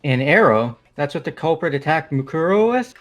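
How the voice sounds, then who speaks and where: A man's voice: on the high side, very animated, normal speed, faint music behind. A man voicing a casual, blunt, sarcastic young man in a fan-voiced game. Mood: questioning. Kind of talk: fan-voiced visual novel game acting.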